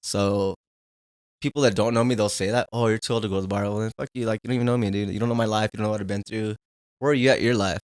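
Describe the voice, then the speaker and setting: A man's voice: lowish voice, fairly even intonation, very fast, no room sound, studio quality. A man in his thirties, a podcast guest, talking in a laid-back, candid way and swearing when riled. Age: 30s. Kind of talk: casual conversation.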